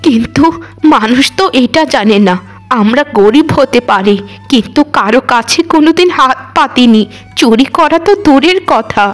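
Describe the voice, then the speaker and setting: A woman voicing a gentle, proud, poor village mother in a cartoon, earnest and consoling. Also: high-pitched, normal speed, faint music behind, lightly acted. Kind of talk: cartoon voice acting.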